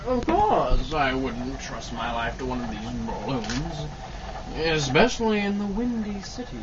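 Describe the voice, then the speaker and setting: A man's voice: mid-range voice, very animated, a little slow. A man playing a cheerful, formal announcer type in an old-time radio drama. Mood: breezy. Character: breezy announcer and deferential airship crewman. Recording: muffled mic, clear background noise.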